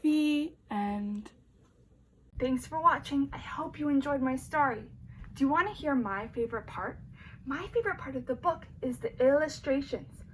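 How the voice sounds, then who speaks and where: High, very animated woman teacher reading aloud. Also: moderate pace, a little hiss or hum, low-quality recording. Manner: animated, emphatic, addressing young listeners directly. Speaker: teacher reading to young children. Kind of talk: expressive storybook read-aloud with questions to children.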